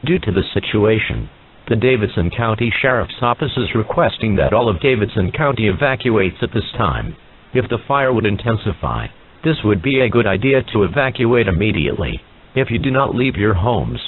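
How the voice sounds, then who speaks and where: A man reading a scripted emergency broadcast announcement in a formal, measured manner, as an alert announcer. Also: on the low side, lively intonation, husky. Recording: muffled narrowband radio-like sound.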